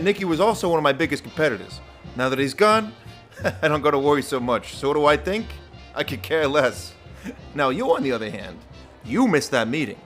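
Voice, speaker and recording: A man's voice: a little high, fairly bright tone. A man playing a callous, bossy underground casino owner in a pulp superhero audio drama.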